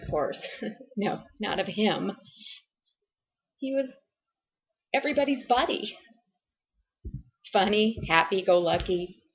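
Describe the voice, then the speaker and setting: A woman acting a distraught, abused wife and mother making a halting, emotionally strained confession in a dramatic monologue. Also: mid-range voice, vocal fry, lo-fi sound. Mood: bitter, haunted.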